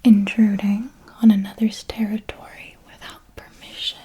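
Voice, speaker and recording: A woman playing an elegant, seductive vampire mentor in a whispered ASMR roleplay, speaking in a deliberate, intimate and formal way. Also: mid-range voice, flat delivery, warm tone, slight background noise, close to an everyday voice. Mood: instructive, composed. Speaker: ASMR performer.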